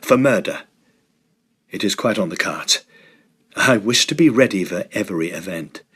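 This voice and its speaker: A man playing a determined, agitated young gentleman in a Victorian horror drama, speaking earnestly and emphatically in formal phrases. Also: low-pitched, animated, moderate pace, breathy edge, exaggerated voice. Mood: grave, resolute.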